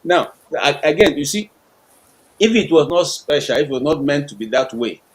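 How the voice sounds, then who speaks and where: Halting Nigerian man arguing a point. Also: a little high, animated. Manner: halting, repeats words while building an argument. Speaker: panel guest. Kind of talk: heated live panel discussion.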